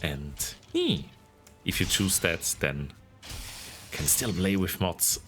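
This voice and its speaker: A man from Austria with a deep baritone voice and an Austrian accent, chatting casually and giggling as he hosts a game livestream. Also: slow pace, faint music behind. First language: German. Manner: giggly, expressive. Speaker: streamer hosting a game stream. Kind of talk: casual livestream chat while gaming.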